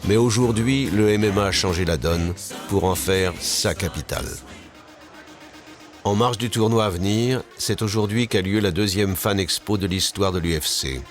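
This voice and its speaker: A man's voice: fairly low pitch, normal speed. A man reading scripted documentary narration as the narrator. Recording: narration over clearly audible music. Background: music.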